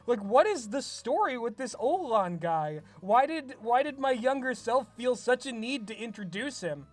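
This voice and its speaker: A man's voice: very high-pitched, a bit bright. Wry, self-mocking young man. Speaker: writer and host. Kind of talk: comedic commentary reading his old script.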